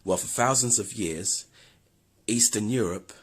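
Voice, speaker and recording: Husky, very deep-voiced man. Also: unhurried. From London, England. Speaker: video host. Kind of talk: scripted history commentary.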